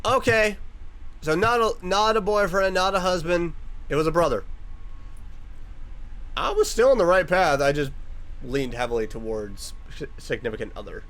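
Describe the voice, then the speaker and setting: A man's voice: fairly high pitch, moderate pace, pauses often, a bit bright, poor audio. A man hosting a reaction video indoors, giving casual commentary and exclaiming and musing aloud as he watches. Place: room indoors. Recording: music behind the voice. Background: music from the watched video.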